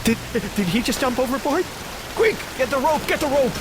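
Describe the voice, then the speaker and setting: A deferential, anxious sailor in a radio drama, with a lively, rising and falling voice. Driving rain and storm noise fill the background. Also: male voice, extremely high pitch, moderate pace. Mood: worried. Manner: respectful, worried, addresses captain formally. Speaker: ship's mate.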